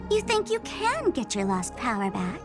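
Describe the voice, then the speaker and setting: A woman's voice: on the high side, wildly swooping pitch, moderate pace. A woman voicing a mischievous, taunting young female trickster in a dramatic video game performance, with a mocking, theatrical delivery. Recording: loud music behind the voice. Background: loud background music.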